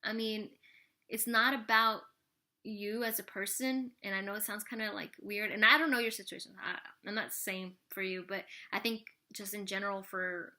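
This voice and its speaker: A woman's voice: mid-range voice, fairly even intonation, normal speed, pushed and bright. A woman in her thirties chatting casually to camera as she answers viewer questions, rambling with lots of "like" and "you know". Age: 30s.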